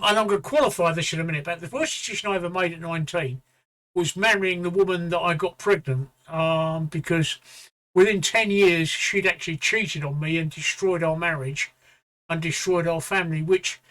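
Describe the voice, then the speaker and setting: A middle-aged man with a bright, forceful tone and a slightly higher voice tells personal stories bluntly and at length, swearing now and then. He sounds like a streamer host in casual chat. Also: poor audio. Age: 50s. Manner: blunt, swearing, rambling storytelling.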